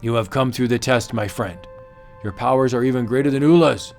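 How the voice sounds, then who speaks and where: A man's voice: medium pitch, fairly quick, soft background music, close to an everyday voice. A man voicing a proud, mighty witch doctor with stilted, declamatory ritual speech in a comic book audiobook. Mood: grave, approving.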